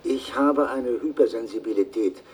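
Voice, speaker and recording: An actor playing an earnest asthmatic salesman and amateur botanist who gives a measured, formal recorded statement in a radio play. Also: medium pitch, a little mellow, slightly rough, close to an everyday voice. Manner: measured, formal, precise wording. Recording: distant taped voice, faint noise.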